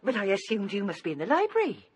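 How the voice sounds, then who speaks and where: An actress playing a deferential, flustered housekeeper in a radio drama, with a husky voice. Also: female voice, medium pitch, huge pitch swings, quick pace. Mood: flustered, apologetic. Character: apologetic, flustered English female housekeeper. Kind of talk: British radio drama acting.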